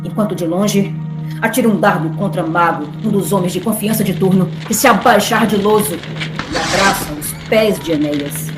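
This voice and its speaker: A woman's voice: mid-range voice, normal speed. A woman with a Brazilian accent giving a scripted, dramatic narration of an epic. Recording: roomy echo, music underneath.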